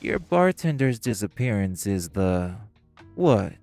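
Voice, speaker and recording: A man's voice: on the low side, big pitch swings, slow pace, gravelly, darkish tone, soft background music, heavily acted. An actor playing a smug, catty male crime businessman who needles the others with sarcasm. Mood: mocking. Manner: sarcastic, provoking, flirtatious jabs. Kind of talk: audio roleplay acting.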